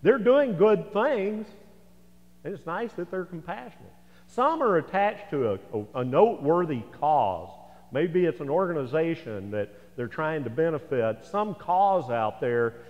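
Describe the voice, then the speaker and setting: A man's voice: on the high side, sing-song, a little slow. An older American man in his sixties preaching a sermon in a folksy, conversational way, with asides to the congregation. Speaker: pastor. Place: large church sanctuary, indoors. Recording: echoey church hall, slightly dull sound.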